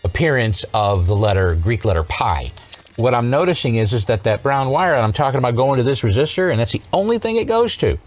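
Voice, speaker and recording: A man giving a casual hands-on repair tutorial, explaining and thinking aloud as he goes. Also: lowish voice. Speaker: vintage electronics repair host.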